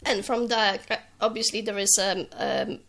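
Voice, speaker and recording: Bright-voiced woman, non-native English. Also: mid-range voice, lively intonation, creaky. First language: not English. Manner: measured, hesitant with many ums. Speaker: guest. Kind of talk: radio talk show testimony. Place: radio studio.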